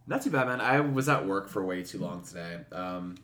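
A man's voice: low-pitched, lively intonation, normal speed, fairly bright tone. A man co-hosting a gaming podcast, chatting casually with slang and swearing, recorded with a roomy, echoing sound. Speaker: video game podcast co-host. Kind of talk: casual chat with profanity.

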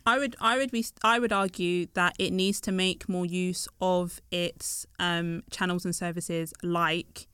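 Woman, thoughtful guest, resonant lower voice, dry recording. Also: steady tone, measured pace, hardly any pauses, fairly bright tone, clean tone, dry recording. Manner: thoughtful, hesitant with many fillers. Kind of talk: casual discussion.

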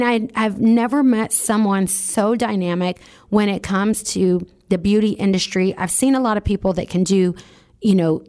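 A woman's voice: medium pitch, a little mellow. A woman who hosts a radio talk show, speaking enthusiastically and directly to her listeners. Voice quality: scratchy voice. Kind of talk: motivational talk on business.

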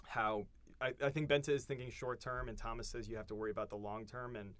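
A man's voice: mid-range voice, steady tone, fast, a bit bright, no room sound. A man hosting a casual chat about a TV series, rambling and restarting as he thinks aloud.

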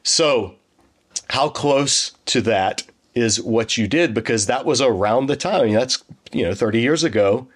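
A man's voice: mid-range voice, unhurried. A man hosting a conversational interview, talkative and enthusiastic. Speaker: host.

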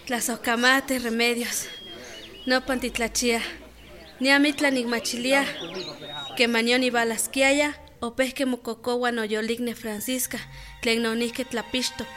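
A woman's voice: a little high, level tone, measured pace, fairly bright tone, faint music behind. An actress in a radio drama playing Esperanza, an earnest pregnant village woman. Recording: busy crowd noise behind.

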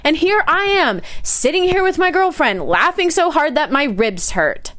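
A woman playing a gentle, thoughtful sixteen-year-old girl with an American accent, talking casually and a little hesitantly in an audio drama. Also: sits high, sing-song, moderate pace. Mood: pensive, guilty. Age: teenager. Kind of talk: naturalistic audio drama dialogue.

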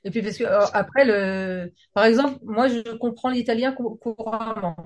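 Talkative French woman with a higher voice. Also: steady tone, moderate pace. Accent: French from France. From France. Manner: talkative, informal, slangy. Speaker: singer, guest. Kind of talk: casual conversation.

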